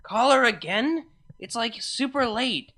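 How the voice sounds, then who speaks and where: A man's voice: extremely high pitch, lively intonation, unhurried, pushed and bright, voice pushed up, exaggerated voice. A man putting on a wailing drunk pony mare. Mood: tipsy. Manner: slurring, wailing, overwrought.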